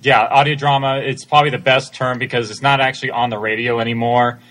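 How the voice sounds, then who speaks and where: A man's voice: medium pitch, fairly even intonation, fast, fairly bright tone. A man answering questions casually as a creator being interviewed on a podcast. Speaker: interview guest, audio drama creator.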